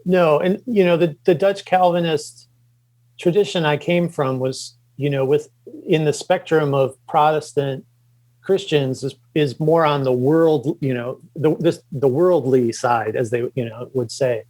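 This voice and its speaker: A middle-aged man from the Chicago suburbs, a podcast guest, talking casually and haltingly with many fillers and restarts. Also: fairly high pitch, darkish tone. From Chicago suburbs, Illinois. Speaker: podcast guest, comics creator. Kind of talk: casual interview talk.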